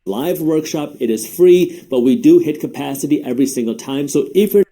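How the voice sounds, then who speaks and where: A man whose first language is Punjabi, presenting a scripted finance explainer in a polished, brisk delivery. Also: a little high, warm tone. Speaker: finance educator.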